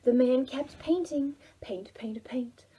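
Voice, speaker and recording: High-voiced, slow-paced woman reading to preschoolers. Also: slightly rough. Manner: expressive, drawn-out words with soft hushed phrases; addresses children directly with simple questions. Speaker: children's librarian hosting preschool storytime. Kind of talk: read-aloud storytelling for young children.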